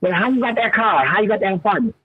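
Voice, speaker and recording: A man's voice: high voice, animated, fast talker, slightly rough, no echo, narrow, tinny audio. A man in his fifties calling in, talking in an emphatic, preachy way and repeating himself as he stumbles over his words. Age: 50s. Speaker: caller. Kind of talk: fired-up preachy call-in talk.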